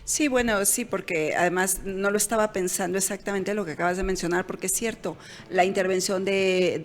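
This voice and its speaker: A woman co-hosting a political talk show, giving opinions with fillers and self-corrections. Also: on the low side. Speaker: show co-host. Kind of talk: political commentary chat. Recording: long reverb.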